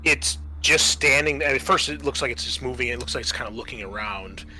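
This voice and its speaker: A man running a tabletop game as game master, describing scenes in a halting, improvised way with many pauses. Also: medium pitch, pushed and bright, faint music behind, low-quality recording.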